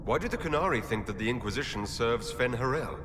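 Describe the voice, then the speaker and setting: A man's voice: medium pitch, lively intonation, a little fast, lightly acted. A man voicing a resolute Inquisitor. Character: human male Inquisitor, a resolute leader. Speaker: player character. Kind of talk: video game voice acting. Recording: game audio with long reverb and loud ambient noise.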